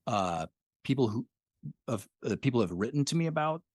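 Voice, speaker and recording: A middle-aged man, a podcast creator who talks about his work in a thoughtful, measured way. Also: medium pitch, expressive, a little mellow, no echo, studio quality. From Spokane Valley, Washington. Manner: thoughtful, measured, some hesitations. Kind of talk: casual interview chat.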